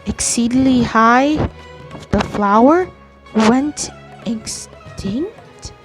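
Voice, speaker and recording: A woman giving casual gameplay commentary in a halting way, sometimes repeating phrases. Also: fairly high pitch, expressive, soft background music. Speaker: gamer commenting on a playthrough.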